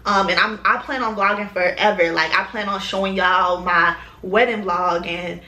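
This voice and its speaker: A woman's voice: medium pitch, fairly even intonation, normal speed, bright, forceful tone, roomy sound, poor audio. A woman, chatting casually to her camera, rambling with lots of ums and slang. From Chicago, US. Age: 20s. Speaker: lifestyle vlogger.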